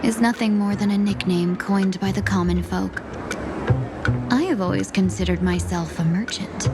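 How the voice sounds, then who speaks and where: A woman voicing a poised young fox-girl assassin in a game, calm, measured and coolly menacing, over loud background music. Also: medium pitch, lightly acted. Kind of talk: game voice acting.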